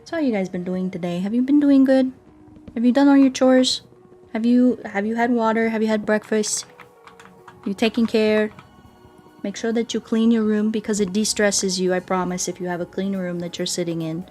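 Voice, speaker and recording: A woman's voice: medium pitch, fairly even intonation, normal speed, warm tone, soft background music. A woman doing casual, playful game livestream commentary, chatting to viewers and often repeating her words and phrases.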